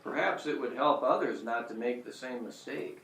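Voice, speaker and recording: A man's voice: medium pitch, lively intonation, moderate pace, creaky, fairly bright tone. A man playing a repentant but brooding Hebrew prophet in an amateur audio drama. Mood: friendly, sincere. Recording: roomy, distant, noisy amateur recording.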